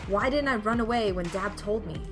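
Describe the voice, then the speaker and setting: A woman's voice: mid-range voice, brisk, music in the background, natural voice. A woman playing a frightened, desperate young hero in a visual novel, narrating in an emotional, melodramatic way. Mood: tense, fearful. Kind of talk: dramatic first-person visual novel narration.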